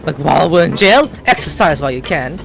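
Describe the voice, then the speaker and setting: Lively-pitched woman doing gameplay commentary. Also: a little fast, gravelly. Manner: rambling, slangy, reactive. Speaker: gaming video host. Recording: game music behind voice.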